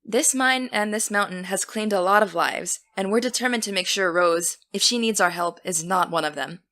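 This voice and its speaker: An actress playing an earnest teenage girl who hosts a podcast, keeping things steady and businesslike. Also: medium pitch, moderate pace, lightly acted. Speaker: podcast host. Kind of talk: scripted audio drama.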